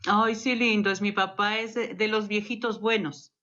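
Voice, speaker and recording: A woman's voice: mid-range voice, steady tone, moderate pace, pushed and bright. Affectionate woman, dull lo-fi audio. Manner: affectionate, simple phrasing. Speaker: interview guest. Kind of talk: casual chat.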